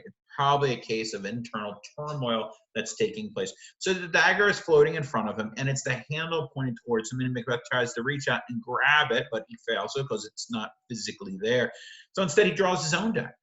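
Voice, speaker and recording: A man's voice: mid-range voice, sharp tone, roomy sound, poor audio. A man teaching literature, walking through the text of a play and explaining it line by line, often correcting himself as he goes.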